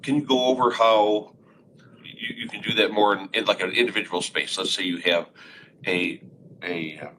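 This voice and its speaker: A man with a very deep, low voice hosts a live webinar demo in a conference room. He asks relaxed guiding questions, picked up by a room mic with clear background noise underneath. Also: moderate pace, low-quality recording. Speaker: webinar host.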